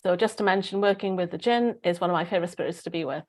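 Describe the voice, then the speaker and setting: A woman's voice: on the low side, racing, darkish tone. A woman with a Welsh accent hosting a casual spiritual group discussion in an informal, rambling way. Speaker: host.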